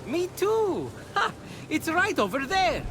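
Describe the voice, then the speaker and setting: A man's voice: very high voice, huge pitch swings, slow pace, long reverb tail, music in the background, exaggerated voice. A man voicing a small, breezy, sly thief in a video game cutscene.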